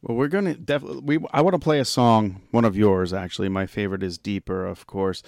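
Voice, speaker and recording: Man, podcast host, lowish voice, dry sound, chatty. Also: a little fast, vocal fry, a little mellow, no echo. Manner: laughs easily, chatty and agreeable. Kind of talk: casual interview chat.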